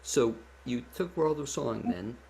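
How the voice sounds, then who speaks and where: A man with a gravelly voice hosting a talk show and putting conversational questions to a guest. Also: medium pitch, expressive, unhurried, warm tone. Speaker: talk show host, interviewer. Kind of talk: conversational interviewing. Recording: roomy echo, background noise.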